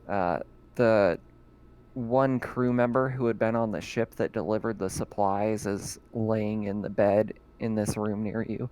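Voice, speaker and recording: A man narrating as game master in a casual online tabletop session, relaxed and quick to laugh, with lots of ums and uhs. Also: fairly low pitch. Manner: relaxed, laughs often, many fillers.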